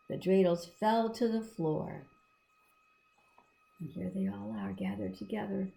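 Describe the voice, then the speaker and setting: Creaky-voiced woman reading to children. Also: medium pitch, darkish tone, poor audio. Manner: simple, encouraging, teacherly explanations with questions to listeners. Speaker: children's storybook reader. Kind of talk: read-aloud with explanatory asides to children.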